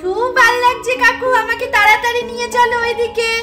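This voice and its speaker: A woman voicing an excitable, insistent little village girl in a doll story, with singsong calls. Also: extremely high pitch, brisk, over loud music. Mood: delighted, eager. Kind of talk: amateur doll-story voice acting.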